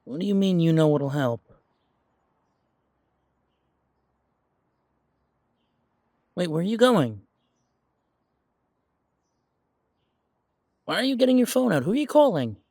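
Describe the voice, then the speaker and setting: A man playing an insecure, defensive werewolf in an audio roleplay, speaking in a halting, stammering way as if confiding in someone close. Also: high-pitched, very animated, a little mellow, dry recording. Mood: confused, alarmed. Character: insecure pure-blood male werewolf, self-doubting, defensive. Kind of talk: audio roleplay drama, one side of an intimate conversation.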